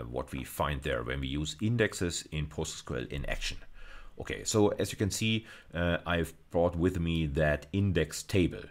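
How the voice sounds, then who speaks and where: A middle-aged man giving a video lecture, explaining step by step and often restating key phrases. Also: a bass voice. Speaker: university lecturer.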